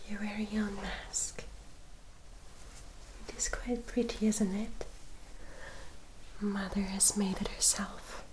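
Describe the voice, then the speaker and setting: A gentle elder vampire sister of high society, acted by a woman for an ASMR roleplay. She mostly whispers, with very unhurried delivery. Her wording is formal and her manner is tender and soothing, as if caring for a younger sibling. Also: level tone, close to an everyday voice, poor audio. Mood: tender, admiring. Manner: slow, soft, formal and soothing, addressing listener directly.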